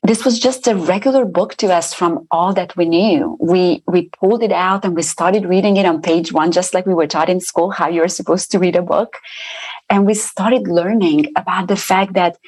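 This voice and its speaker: A woman's voice: lowish voice, normal speed, husky, poor audio. A woman from Romania giving an earnest faith testimony as an interview guest. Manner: earnest, steady storytelling.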